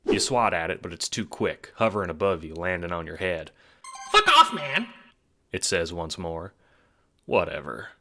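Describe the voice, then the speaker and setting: A man's voice: deep voice, steady tone, moderate pace, a bit bright. A man giving wry, profane second-person narration for an audio drama.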